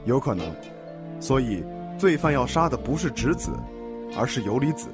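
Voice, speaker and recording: A man playing a composed police detective in a radio drama, questioning in a measured, formal way. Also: medium pitch, lively intonation, natural voice. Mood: calm, probing. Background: music.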